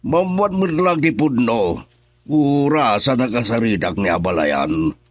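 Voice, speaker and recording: A man's voice: medium pitch, animated, measured pace, no echo. An actor playing a stern, troubled middle-aged father in Ilocano radio drama, with a Filipino accent. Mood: stern, upset.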